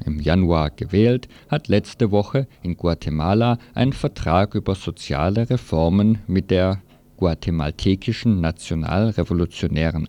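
A man's voice: on the low side, dark tone. A man presenting news on morning radio in a read, reporting style.

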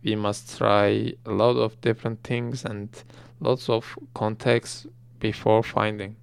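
A man giving an English summary as interpreter, in a measured, deliberate delivery. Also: lowish voice, little pitch movement, gravelly, clean tone. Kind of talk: translated summary.

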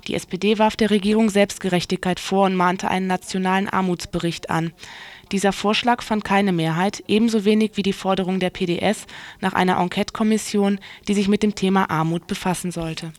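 A woman's voice: mid-range voice, fairly even intonation, brisk, dry recording. A woman reading scripted radio news. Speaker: radio newsreader.

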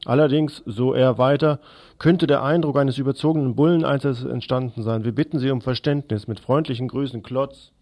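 A man's voice: mid-range voice, fairly even intonation, a little fast, a little mellow. A man reading scripted news as a radio presenter.

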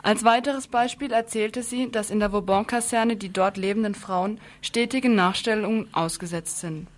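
A woman's voice: medium pitch, steady tone, normal speed. A woman presenting a scripted radio news report in an earnest manner, now and then stumbling over a word and starting again.

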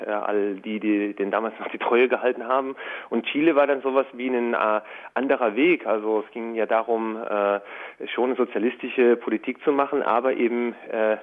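A man explaining things as an interview guest, thoughtful and hesitant, often pausing mid-phrase. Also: medium pitch. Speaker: interview guest from a Latin America news agency project. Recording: narrow, tinny phone-like line.

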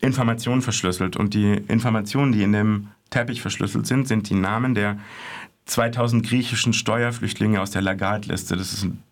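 Low-voiced hesitant male radio correspondent. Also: steady tone, a little fast. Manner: hesitant, many fillers and restarts. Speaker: art correspondent. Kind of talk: casual radio chat, reporting on art fairs.

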